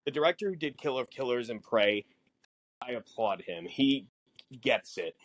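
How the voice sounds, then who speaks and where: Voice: medium pitch, animated, moderate pace, rough recording. A podcast co-host in casual fan discussion, musing and posing questions aloud.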